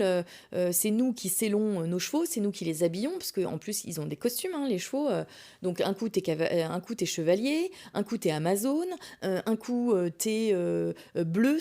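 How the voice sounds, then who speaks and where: Mellow-voiced woman telling a casual personal story. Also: lowish voice. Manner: animated, colloquial, self-deprecating. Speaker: podcast host.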